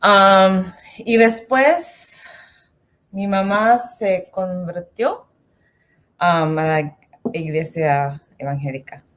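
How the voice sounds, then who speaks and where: Woman, from the Philippines, lowish voice, slow and hesitant, nervous speaking Spanish. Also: steady tone, a bit bright. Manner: hesitant, many fillers, slips into English. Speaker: guest. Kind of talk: nervous testimony in halting Spanish.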